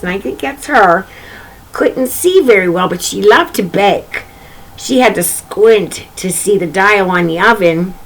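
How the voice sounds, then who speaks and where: A woman reads a picture book aloud for young children in a very animated, swooping voice at a middle pitch. She talks straight to her listeners, drifting into chatty asides and repeating little phrases as she goes. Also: poor audio. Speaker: children's story reader and host.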